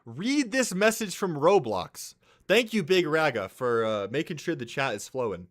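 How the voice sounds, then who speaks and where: A man in his twenties from California with an American accent, chatting casually on a live stream in excitable, slangy talk and answering chat messages. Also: a little high, moderate pace. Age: 20s. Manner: excitable, slangy, swears, says "bro".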